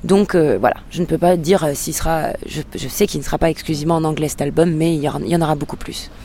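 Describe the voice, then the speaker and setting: A woman's voice: deep voice, fast talker, mellow, slight background noise. Hesitant woman, English her first language. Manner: hesitant, many filler sounds and restarts. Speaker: singer-songwriter guest. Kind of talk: interview answers.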